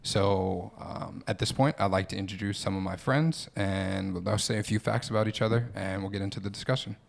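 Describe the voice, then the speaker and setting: Young male student host from Philadelphia, low and gravelly, relaxed chatty delivery. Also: brisk, a little hiss or hum. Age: young adult. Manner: relaxed, with frequent "um" and "like". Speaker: podcast host, optometry student. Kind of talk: casual podcast chat.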